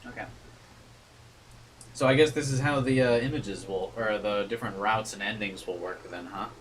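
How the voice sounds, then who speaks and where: A man's voice: fairly low pitch, moderate pace, distant mic, a little hiss or hum, poor audio. A man streaming a game, switching between casual commentary and reading the narration aloud. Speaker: streamer playing a visual novel.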